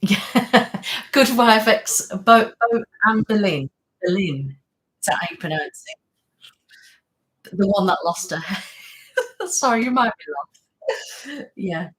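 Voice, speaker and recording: A woman hosting her ASMR channel's live stream, softly chatting and greeting viewers' comments with many fillers. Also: mid-range voice, lively intonation, takes it slowly, long pauses, low-quality recording. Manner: soft-spoken with filler words. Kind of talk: casual live-stream chat.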